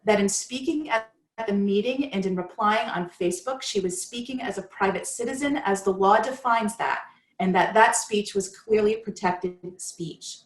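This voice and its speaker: A woman's voice: mid-range voice, normal speed. Woman reading a ruling aloud, roomy. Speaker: union staff reading a court opinion aloud. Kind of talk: dramatic reading of a legal ruling.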